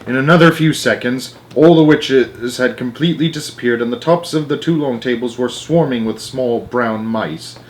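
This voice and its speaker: A man narrating a children's storybook aloud in an animated read-aloud style. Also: mid-range voice, moderate pace, few pauses.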